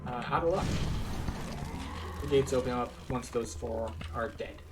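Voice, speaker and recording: A man's voice: medium pitch, a little slow, very noisy. A man giving casual, explanatory commentary as he guides a video game playthrough. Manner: relaxed, explanatory. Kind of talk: casual let's-play commentary.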